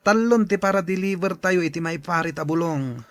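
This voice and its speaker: A man's voice: high voice, a little fast, no room sound. A man playing a jovial, scheming drug dealer who talks people round in an audio drama. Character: scheming, jovial male drug dealer luring poor neighbours.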